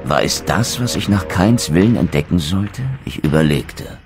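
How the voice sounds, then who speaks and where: Ein Schauspieler spricht einen bitteren, stolzen untoten Krieger in einem Videospiel, feierlich und wortgewandt. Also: male voice, sits low, lively intonation, moderate pace, over music. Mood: grave wonder. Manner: solemn, eloquent, theatrical. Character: bitter, proud undead wraith warrior, male. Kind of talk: dramatic video game narration and dialogue.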